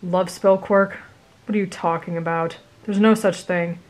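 A woman voicing a tired, grumpy adult male teacher who talks in a flat, weary mumble. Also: on the low side, measured pace, close to an everyday voice, poor audio.

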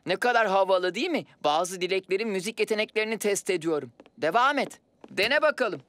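A woman's voice: medium pitch, animated, fairly quick, fairly bright tone, slight background noise, close to an everyday voice. A woman voicing a cheerful, reassuring keeper of magic wishes in a children's cartoon dub, upbeat and encouraging. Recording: music behind the voice.

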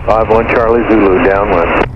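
A man's voice: fairly low pitch, steady tone, normal speed. Terse male pilot on muffled radio. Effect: aircraft radio. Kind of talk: terse aviation radio calls. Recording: muffled aircraft radio with engine noise.

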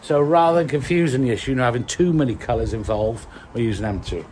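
A man's voice: mid-range voice, fast talker, darkish tone, slight background noise. A Welsh man in his fifties, a landscaper explaining his patio work in a chatty, self-mocking way, recorded outdoors on a handheld phone. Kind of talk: casual how-to vlog. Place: outdoor garden beside a house. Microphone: handheld phone, later a plugged-in mic.

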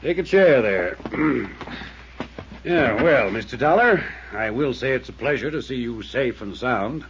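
A man's voice: medium pitch, expressive, a little slow, background noise. An actor playing an evasive male small-town mayor who speaks in a formal, placating, officious way in a 1950s radio drama. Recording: dull old radio transcription.

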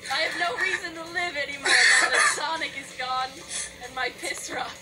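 A woman's voice: high voice, moderate pace, far from the mic, over loud music, close to an everyday voice, rough recording. A woman voicing a hot-tempered young female hedgehog in a comedic game fandub, brash and sassy, set back from the mic. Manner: brash, sassy, laughing through lines.

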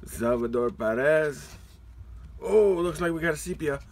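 A man hosting a card-opening video with excited, playful casual commentary, given to exclamations. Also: fairly high pitch, unhurried. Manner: exuberant, exclaiming and whooping, playful. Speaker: host of a trading card opening channel. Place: indoors, at a table with cards.

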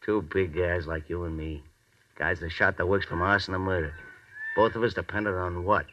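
An actor playing a hardened, hot-tempered convict in a 1940s radio drama, talking in gruff, impatient slang. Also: male voice, low voice, fairly even intonation, normal speed, far from the mic, close to an everyday voice. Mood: wry, amused. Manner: gruff, slangy, impatient tough-guy talk. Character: hardened, hot-tempered convict fugitive hunting butterflies in jungle. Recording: muffled, hissy old radio recording.

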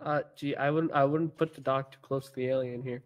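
A man's voice: mid-range voice, fairly even intonation, fairly quick. A man playing a police officer in casual improvised online role-play, speaking in a hesitant, bemused way.

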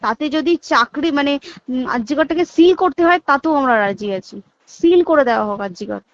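A woman's voice: high voice, expressive, normal speed, dry recording. A woman with a West Bengal Bengali accent in an agitated private phone call, speaking insistently and emphatically and pressing points with repeated rhetorical questions. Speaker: hospital staff member. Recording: dull lo-fi recorded phone call.

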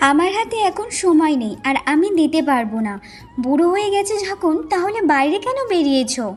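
A woman's voice: very high-pitched, expressive, fairly quick, slight background noise. A woman voicing an envious, greedy village wife in a fairy-tale cartoon, with a complaining, questioning manner. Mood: curt, dismissive. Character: envious, greedy younger village wife, curt and self-serving.

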